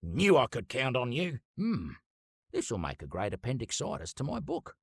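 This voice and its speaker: A man playing a fussy, excitable and pompous explorer and wildlife expert in an animated video game, speaking theatrically with whimsical made-up words. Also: mid-range voice, normal speed, creaky, slightly rough, dry recording, studio quality, full character voice. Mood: intrigued, self-important. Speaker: voice actor.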